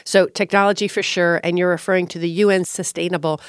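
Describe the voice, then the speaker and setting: A woman's voice: fairly low pitch, little pitch movement, quick pace, clear, resonant tone, dry recording, studio quality. A woman hosting an interview, upbeat and full of praise for her guest. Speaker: interview host.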